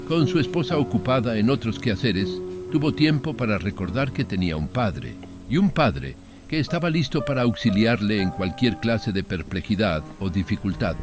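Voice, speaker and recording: Man, horror audiobook narrator, lively pitch swings, measured literary delivery, clear background music.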